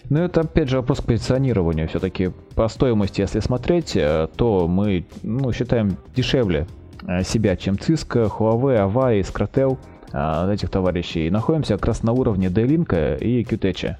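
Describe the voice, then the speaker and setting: A man explaining products as a guest in a podcast interview, speaking haltingly with drawn-out hesitations. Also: fairly low pitch, animated, warm tone, faint music behind. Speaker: guest, telecom equipment maker's project manager.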